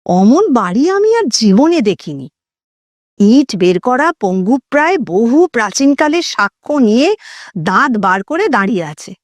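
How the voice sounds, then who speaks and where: Expressive high-voiced woman narrating a story. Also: dry recording. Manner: dramatic, deliberate phrasing with acted dialogue. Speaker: audio story narrator and host.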